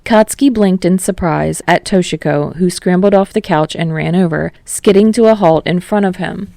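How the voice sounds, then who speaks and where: Woman narrating, low voice with gravelly fry. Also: moderate pace, warm tone, dry recording, studio quality. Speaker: audiobook narrator of fan fiction. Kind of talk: scripted story narration.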